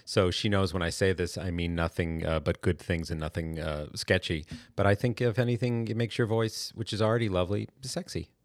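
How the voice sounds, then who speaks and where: A man with a very deep voice hosts a chatty podcast, drifting between jokes and asides. His delivery is playful and rambling. The sound is dry and close. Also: fairly even intonation, fairly quick, few pauses, dry recording. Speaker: podcast co-host. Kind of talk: casual chat.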